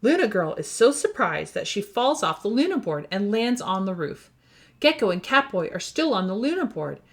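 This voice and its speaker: A woman reading a storybook aloud for young children in an animated, expressive storytelling style. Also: mid-range voice, normal speed. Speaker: children's book reader.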